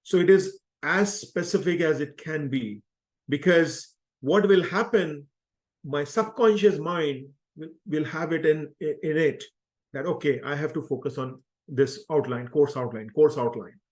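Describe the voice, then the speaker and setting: Man giving earnest, deliberate advice. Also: fairly high pitch, darkish tone. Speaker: guest, author and self-help coach. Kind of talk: earnest explanatory interview talk.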